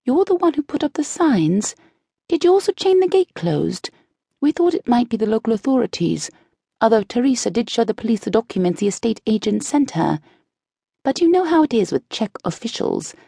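A woman voicing a chatty, curious young British woman disguised as a nun, full of rapid questions and unafraid. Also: a little high, vocal fry, warm tone, slightly rough, dry recording, studio quality, pitched above the performer's own voice, exaggerated voice. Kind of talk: audiobook dialogue.

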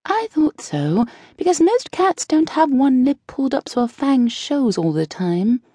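Bubbly high young nun, asks nonstop questions, dry close recording. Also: female voice, very animated, dark tone, husky, dry recording, exaggerated voice. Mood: curious. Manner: curious, rapid questions, unafraid. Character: chatty young British woman disguised as nun. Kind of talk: audiobook dialogue.

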